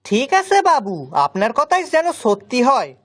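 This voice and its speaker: A woman's voice: on the high side, very animated, moderate pace, fairly bright tone. A woman voicing a humble, eager young male servant and street food seller in a cartoon, with deferential, rustic colloquial speech. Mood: downcast. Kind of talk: cartoon story dialogue. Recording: music behind the voice.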